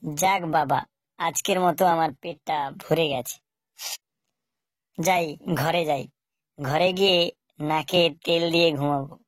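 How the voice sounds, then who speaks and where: A sly, greedy cartoon fox played by a woman, pitched on the low side. The delivery is sing-song and mischievous, like nursery-rhyme chanting. The recording is close and dry. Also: fairly even intonation, unhurried, long pauses, no echo. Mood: smug, satisfied. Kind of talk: children's cartoon character acting.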